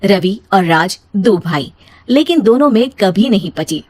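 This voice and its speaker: A woman's voice: medium pitch, expressive, unhurried. A woman storyteller giving a scripted narration of an emotional moral story.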